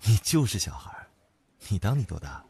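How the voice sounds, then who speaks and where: Man acting a deep, mellow, indulgent boyfriend boss. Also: unhurried, a little hiss or hum. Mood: fond teasing. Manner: calm, gently doting. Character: indulgent, unflappable older boyfriend and company boss. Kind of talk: audio drama acting, intimate low-key talk. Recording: background music under the voice.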